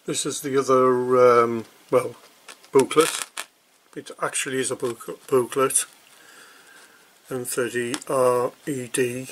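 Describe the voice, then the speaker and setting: A man talks through an unboxing in a casual, unhurried way. He speaks slowly and hesitantly, breaking his thoughts into short pieces. Clear background noise and clicks sit behind his voice in a homemade recording. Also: mid-range voice, level tone. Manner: hesitant, piecemeal delivery with long pauses. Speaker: hobbyist product reviewer.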